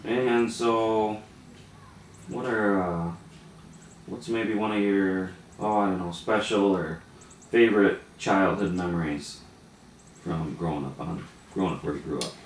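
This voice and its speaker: A man's voice: deep voice, fairly even intonation, a little slow, long pauses. A man interviewing a relative, encouraging and chatty, with many short acknowledgements. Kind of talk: family interview. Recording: echoey room, mic at a distance, faint noise.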